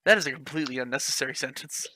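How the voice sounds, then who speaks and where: A man's voice: mid-range voice, racing, bright, forceful tone, no echo. A man reading a clumsy fan story aloud, mocking it with sarcastic, swearing commentary. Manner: sarcastic, swears, laughs at the text.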